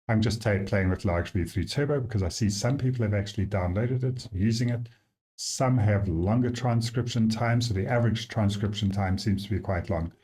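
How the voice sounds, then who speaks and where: A man with a South African accent, the app's developer, casually walking through his software and thinking aloud with frequent fillers. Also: fairly low pitch. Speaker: app developer giving a demo.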